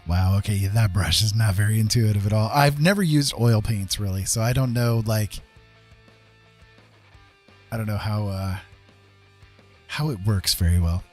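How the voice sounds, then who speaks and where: Man, lowish voice, casual art streamer, rambling and hesitant, thinking out loud, faint music behind. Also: moderate pace, pauses often. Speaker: streamer giving art tutorials. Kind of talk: casual livestream chat while painting.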